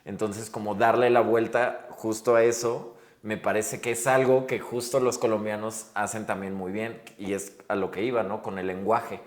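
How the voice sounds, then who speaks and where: A man's voice: lowish voice, little pitch movement, normal speed, hardly any pauses. A Mexican man hosting a podcast, chatting in a reflective, rambling way and often checking in with his guest. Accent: Mexican Spanish. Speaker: podcast host. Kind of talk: casual interview chat. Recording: roomy echo.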